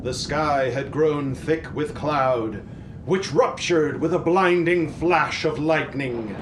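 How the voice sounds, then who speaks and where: A man plays a solemn storyteller narrating a spooky Christmas tale. His fairly high male voice takes its time through the dramatic prose, over faint noise. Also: takes it slowly. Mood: solemn storytelling.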